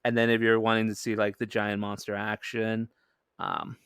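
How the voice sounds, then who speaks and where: A man's voice: on the low side, completely flat delivery, normal speed, a bit bright, clear, resonant tone, no room sound, clean studio sound. A man in a casual podcast discussion, speaking informally and easygoing as a co-host.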